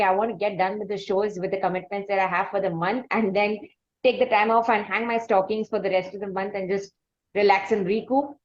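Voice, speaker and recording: A woman with an Indian accent hosting a conversational interview, phrasing her questions in a halting, wordy and formal way. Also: medium pitch, muffled recording. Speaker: podcast interview host.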